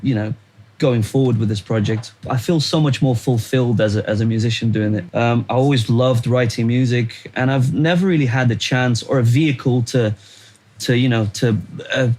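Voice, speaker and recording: A man's voice: medium pitch, normal speed, a little mellow. Young Brazilian man, Portuguese native, easygoing guest, hunts for words. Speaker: musician guest. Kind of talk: casual interview chat.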